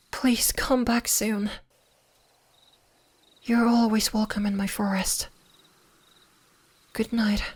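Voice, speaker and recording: Woman playing a young female fox spirit, ASMR bedtime roleplay, high soft voice, breathy half-whisper, even melody, gentle, lonely. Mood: tender, lonely. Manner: gentle, slow, wistful.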